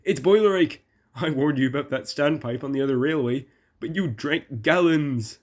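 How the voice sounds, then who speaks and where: A man plays a small tank engine from a storybook. He is bright and cheeky. His teasing is delivered with mock innocence. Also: on the high side, moderate pace, voice pushed up, close to an everyday voice.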